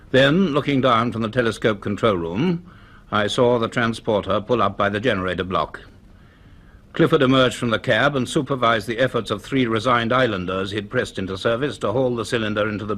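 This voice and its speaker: A formal male professor in a radio drama, dry, grave, measured and in charge. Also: lowish voice, fairly bright tone. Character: grave, dryly authoritative male university professor recording his notes. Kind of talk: dramatised narration in a radio serial.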